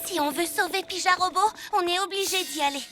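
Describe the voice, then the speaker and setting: A performer voicing a plucky, determined child superhero in a French cartoon, lively and emphatic. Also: very high voice, fairly quick. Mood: concerned. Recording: music behind the voice.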